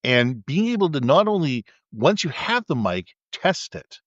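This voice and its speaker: Booming man chatting on a podcast. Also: big pitch swings, unhurried, dry recording, lo-fi sound. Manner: booming, explains at length with repeated restarts. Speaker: podcast co-host. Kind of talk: casual chat. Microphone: USB condenser mic with windscreen, held back from the mouth.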